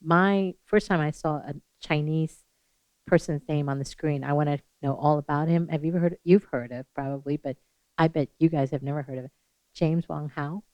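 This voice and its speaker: A woman's voice: deep voice, steady tone, moderate pace. A woman who learned English as a second language, moderating a panel with chatty, rambling questions full of fillers. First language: not English. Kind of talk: hosting a panel Q&A. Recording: slightly dull, lo-fi sound.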